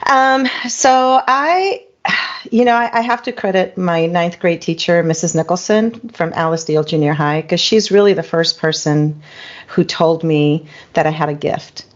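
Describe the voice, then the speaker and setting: A middle-aged woman from Spain, French her first language, speaks in a low, unhurried voice. She is a singer-songwriter guest who reflects calmly with plenty of ums and you-knows. The sound is dull and lo-fi. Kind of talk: casual interview chat. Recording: dull lo-fi recording.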